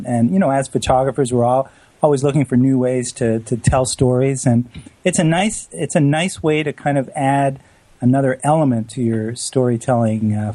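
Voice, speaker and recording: A man's voice: medium pitch, warm tone, dry recording. A man from Canada with a Canadian accent, a photographer chatting earnestly with many restarts. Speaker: photographer, co-host. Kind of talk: casual chat.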